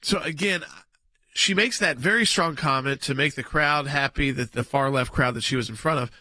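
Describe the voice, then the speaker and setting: A man's voice: medium pitch, normal speed, pushed and bright, no room sound. A man hosting a political talk radio show, chatting casually with his co-host and reading out names. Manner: conversational, banters with co-host, reads out lists.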